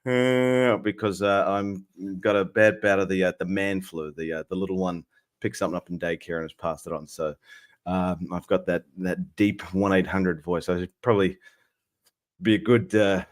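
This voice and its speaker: Hoarse Australian man hosting a livestream. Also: sits low, steady tone, normal speed. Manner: friendly, chatty, many fillers. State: hoarse from a cold. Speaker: host. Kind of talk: casual livestream chat.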